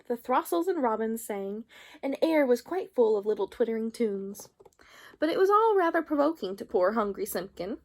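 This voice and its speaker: A woman reading a children's storybook aloud expressively, drawing out some phrases. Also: a little high.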